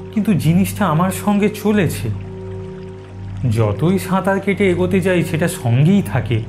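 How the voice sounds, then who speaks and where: Male horror narrator, fairly high-pitched, dramatic suspenseful pacing with pauses, music underneath. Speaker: narrator of an animated horror story. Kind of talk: dramatic first-person scripted storytelling.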